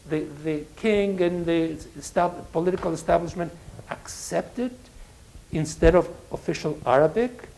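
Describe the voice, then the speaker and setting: A man professor speaking in a public academic conversation, reflective, pausing with restarts and fillers. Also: sits high, gravelly, dark tone, a little hiss or hum, rough recording. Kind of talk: academic panel talk.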